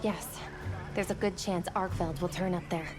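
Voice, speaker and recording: A woman's voice: lowish voice, steady tone, moderate pace, lightly acted. A woman playing a composed, caring mentor in a video game cutscene, direct and firm in her delivery. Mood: composed, probing. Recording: loud music behind the voice.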